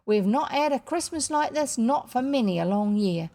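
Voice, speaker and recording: A woman's voice: a little high, expressive, moderate pace, gravelly, mellow, a little hiss or hum, voice pushed up. A woman voicing a boisterous, jovial working-class father in a children's storybook, colloquial and full of exclamations. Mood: hearty, festive. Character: boisterous, hearty working-class father just back home. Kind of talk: children's storybook character reading.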